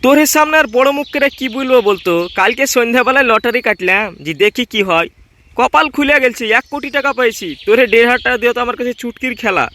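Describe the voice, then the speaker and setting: A man's voice: very high voice, lively intonation, fast talker, pushed and bright, full character voice. A man voicing a young village fellow in a comedy cartoon. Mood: smug, boastful. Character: boastful young village man, once broke, now rich.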